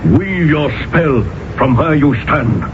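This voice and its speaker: A man's voice: on the high side, animated, slow pace. A man acting in a radio drama as a huge, menacing temple priest guard, stern and imperious with archaic phrasing. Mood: forbidding. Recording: dull, very noisy old radio broadcast.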